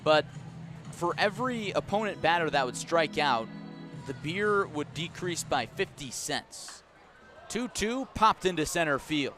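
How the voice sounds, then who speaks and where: Male baseball announcer, higher-than-average voice, clipped calls, chatty asides, clear music behind. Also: expressive, a little slow. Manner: clipped play calls mixed with conversational asides. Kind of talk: live radio sports commentary. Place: outdoor ballpark broadcast.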